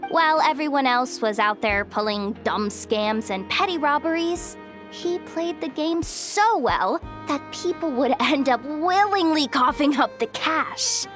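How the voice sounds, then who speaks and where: A young actress playing a guarded, pragmatic young woman with a soft heart and a wry, casual way of talking in a video game. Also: sits high. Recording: studio voice over game music. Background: background music.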